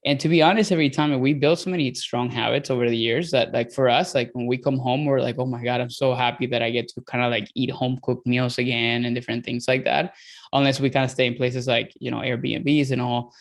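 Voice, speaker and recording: A man's voice: medium pitch, fairly even intonation, fairly quick. A man from Venezuela in his thirties with a Venezuelan accent, a dietitian guest talking casually in an interview, rambling with many fillers and restarts.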